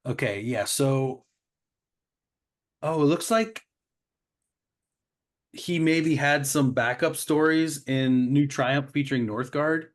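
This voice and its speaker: A man with an average-pitched voice chats casually as a podcast co-host. He is agreeable and often chimes in with reactions while talking through comic books. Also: slow pace, long pauses. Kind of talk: casual comic book discussion.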